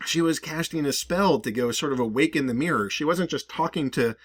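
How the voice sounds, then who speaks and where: A man's voice: a little high, brisk, breathy edge, dry recording, studio quality. A man hosting a video with casual, rambling and emphatic conversational commentary. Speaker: video host.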